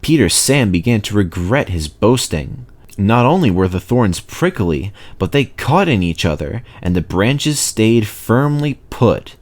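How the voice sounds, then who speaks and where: A man reading a children's story aloud as narrator, in expressive scripted narration. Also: fairly low pitch, a little slow. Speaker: narrator, reader of children's stories.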